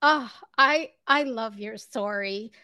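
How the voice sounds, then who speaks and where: A woman with a fairly high voice hosts an interview, reading a polished intro before moving into friendly chat. She greets her guest with an upbeat "love". The sound is dry and close to the mic. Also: slow, clear, resonant tone, dry recording. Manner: warm, upbeat greeting of "loves". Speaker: podcast host. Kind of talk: interview host, scripted intro then chat.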